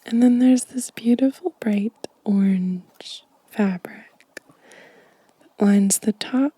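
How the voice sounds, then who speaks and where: A woman giving a gentle, unhurried ASMR show and tell in a soft, mostly whispered, breathy voice, close to the microphone. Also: mid-range voice, no echo. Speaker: ASMR video host.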